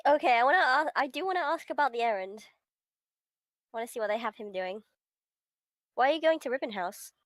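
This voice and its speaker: A woman's voice: high voice, lively intonation, fairly quick, long pauses, fairly bright tone. A woman chatting casually on a live stream and reading visual novel dialogue aloud as they play.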